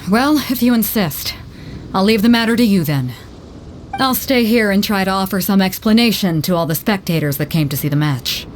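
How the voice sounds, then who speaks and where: Woman voicing a brash, lively ship captain. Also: medium pitch, moderate pace, a little hiss or hum, lightly acted. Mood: wry. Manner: brash, commanding, announcer-like. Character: bold female ship captain, brash and confident. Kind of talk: video game voice acting. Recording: game music underneath.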